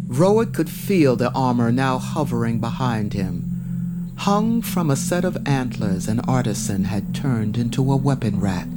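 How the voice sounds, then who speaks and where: A man giving solemn, measured, scripted narration of a dramatic fantasy tale. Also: medium pitch. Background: prominent music.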